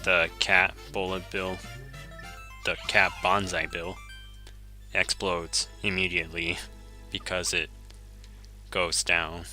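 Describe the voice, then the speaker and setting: Male game streamer, Japanese first language; deep, very bright and forceful tone, relaxed self-correcting chatter over faint game music. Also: takes it slowly, pauses often. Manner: easygoing, chuckles often, self-correcting. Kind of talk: casual gameplay commentary, reading chat.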